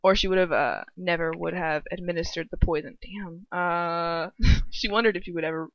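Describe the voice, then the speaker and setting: A woman's voice: on the low side, moderate pace, no echo, dull audio. A young adult woman reading fan fiction aloud casually, flustered and giggly, breaking off into sweary asides.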